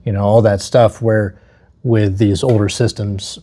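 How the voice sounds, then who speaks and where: A man's voice: on the low side, little pitch movement, unhurried, mellow. A man chatting casually as an agreeable co-host, backing up the points being made. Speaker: co-host, security installer. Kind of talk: casual chat.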